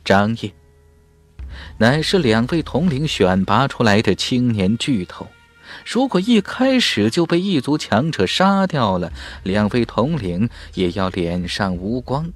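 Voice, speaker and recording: A man's voice: medium pitch, big pitch swings, a little slow. A man reading dramatic scripted fantasy narration as an audiobook narrator. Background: faint music.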